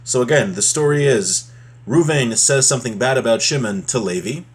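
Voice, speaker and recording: A man's voice: mid-range voice, expressive, normal speed, breathy edge. A man giving an informal, explanatory lesson on religious law as a teacher, reading from a text, paraphrasing it in conversational words and checking in as he goes. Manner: conversational, acts out examples, checks in with "right?" and "okay?".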